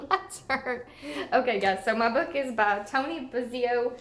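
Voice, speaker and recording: High-voiced woman teaching young children. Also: measured pace, a bit bright. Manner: simple, child-directed explanations. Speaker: preschool teacher reading aloud to children. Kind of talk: storybook read-aloud with casual chat to children. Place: indoors at home, echoey room. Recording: roomy echo, clear background noise.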